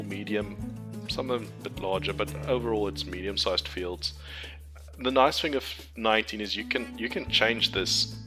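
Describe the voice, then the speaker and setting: A man from Cape Town with a South African accent, whose first language is Afrikaans, giving a casual, hesitant walkthrough of his game map with lots of ums and restarts. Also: on the low side. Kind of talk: casual screen-share walkthrough. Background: background music.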